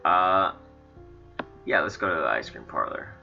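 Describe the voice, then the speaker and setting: A man's voice: sits low, animated, a little slow, gravelly, lo-fi sound, over music. A man with a stuffy, congested nose, rambling chattily in casual commentary on a game he is playing. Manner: rambling, chatty, many fillers. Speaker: let's play host.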